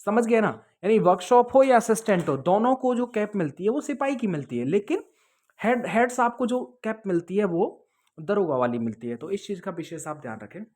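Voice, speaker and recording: A man's voice: high-pitched, animated, normal speed, a little mellow. Man explaining things conversationally. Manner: conversational, advising, often checks with "okay?" and "understood?". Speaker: host answering viewers' questions about police jobs. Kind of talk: casual explanatory Q&A to camera.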